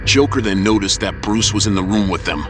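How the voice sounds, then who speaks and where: A man's voice: lowish voice, brisk, noisy background. A man reading scripted narration as the narrator of an audio drama. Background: music.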